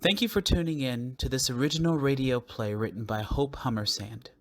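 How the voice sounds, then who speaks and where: A man with a medium-pitched voice delivers a scripted announcement. He speaks as an artistic director addressing listeners at the close of a show. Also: brisk, a bit bright. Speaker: theater producing artistic director. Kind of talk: scripted closing announcement and appeal.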